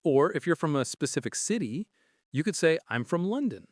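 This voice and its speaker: A man's voice: a little high, big pitch swings, normal speed, a little mellow, clean studio sound. Male co-host for an English lesson, explanatory and curious, varied melody, no room echo. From Canada. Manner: inquisitive, explanatory. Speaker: podcast co-host. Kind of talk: scripted conversational English lesson.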